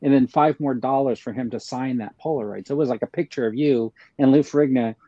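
A man telling anecdotes in casual conversation, hesitant and halting, on a slightly dull lo-fi recording. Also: mid-range voice, dark tone, no room sound. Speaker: panelist on a fan livestream.

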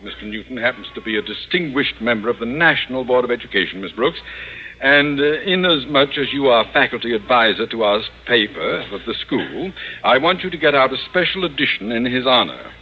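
An actor playing a pompous, self-serving middle-aged school principal in 1950s radio comedy style. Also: male voice, mid-range voice, lively intonation, brisk, husky. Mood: pompous, commanding. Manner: pompous, fawning to superiors, overbearing to subordinates. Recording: muffled, noisy old radio recording.

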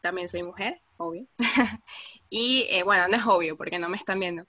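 A woman's voice: medium pitch, normal speed, no echo. A young Venezuelan woman from Caracas with a Venezuelan accent, chatting casually as a podcast guest with lots of fillers. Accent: Venezuelan Spanish, Caracas. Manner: relaxed, lots of fillers, laughs easily.

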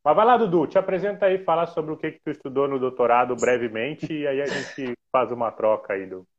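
A man hosts a casual podcast, joking with his guests in a relaxed way. His voice has an ordinary middle pitch, and the recording sounds a little dull and muffled. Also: brisk. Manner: relaxed, teasing, many fillers. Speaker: podcast host.

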